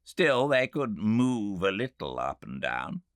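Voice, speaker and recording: A man's voice: fairly low pitch, big pitch swings, slow, no echo, clean studio sound, voice pushed up, exaggerated voice. A man voicing a sceptical Victorian medical man with a British accent, arguing emphatically in an audiobook. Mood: insistent objection. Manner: argumentative, emphatic. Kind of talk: audiobook character dialogue.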